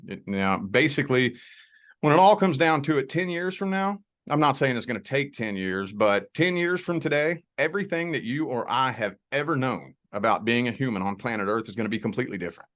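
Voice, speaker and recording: A man's voice: medium pitch, fairly bright tone. A man from the Indiana and Kentucky border with a Southern accent, a guest giving a folksy, reassuring tarot reading on a video call. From Southern Indiana near Louisville, Kentucky. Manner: folksy, reassuring, drawn-out emphasis. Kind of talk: spiritual conversation and tarot reading.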